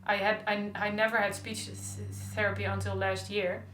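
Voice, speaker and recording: A woman's voice: medium pitch, flat delivery, normal speed, fairly bright tone, a little hiss or hum. A young woman who stutters openly with repeated sounds, talking in a casual diary-style vlog. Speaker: stuttering vlogger. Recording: echoey room.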